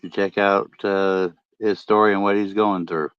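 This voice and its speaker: A middle-aged man with a deep voice, chatting casually late at night and rambling with many fillers and restarts. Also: flat delivery, moderate pace, clear, resonant tone, no echo. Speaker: podcast host and ham radio vlogger. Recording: dull lo-fi dry sound.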